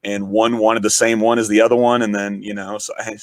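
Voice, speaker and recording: A man, a podcast guest who is also a podcast host, talking in rambling, enthusiastic casual chat, his voice raspy from shouting. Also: fairly low pitch, level tone, fairly quick, no room sound. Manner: rambling, enthusiastic, frequent swearing.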